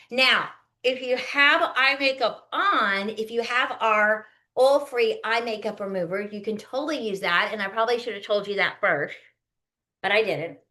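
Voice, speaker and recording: Higher-voiced woman, chatty. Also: sharp tone. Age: early 50s. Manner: chatty, folksy, often checking in with listeners. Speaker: skincare consultant hosting a live online demonstration. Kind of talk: casual instructional chat, product demo.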